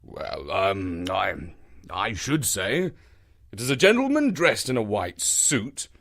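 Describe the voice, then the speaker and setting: A man's voice: fairly low pitch, sing-song, a bit bright, heavily acted. A man doing a hesitant kindly companion. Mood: puzzled. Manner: hesitant, fumbling, slow and polite. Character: mild, hesitant, kindly male doctor companion.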